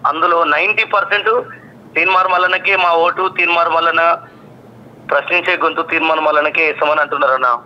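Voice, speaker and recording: A man with a Telangana Telugu accent calls in to a live show by telephone, speaking haltingly and repeating words and phrases. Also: on the high side, steady tone, pushed and bright. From Nalgonda, Telangana, India. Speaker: phone-in caller. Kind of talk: live phone conversation. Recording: dull, noisy telephone line.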